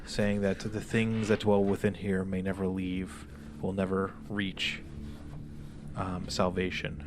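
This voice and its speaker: A man acting as game master, narrating a tabletop game casually and haltingly, with informal pauses. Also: deep voice, monotone, background noise.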